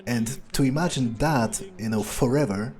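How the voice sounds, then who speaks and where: A man's voice: medium pitch, normal speed, darkish tone, faint music behind. A man improvising an in-character gameplay monologue, rambling and hesitant with dry cynicism. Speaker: gamer playing a vampire character.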